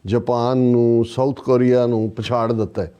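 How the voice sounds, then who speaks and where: A man's voice: medium pitch, little pitch movement, normal speed, dark tone, clean tone, muffled recording. A man with a Punjabi accent gives conversational political commentary as a talk show commentator, in a sardonic, emphatic manner.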